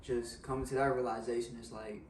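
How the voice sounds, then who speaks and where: A young man with a slightly raspy voice talks frankly, often checking in with a quick "you know what I'm saying." His pitch sits in the middle for a man. The sound comes from across a roomy living room with a faint hiss. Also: flat delivery, recorded from a distance. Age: young adult. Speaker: guest in a discussion. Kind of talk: casual reflective chat. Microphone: far camera.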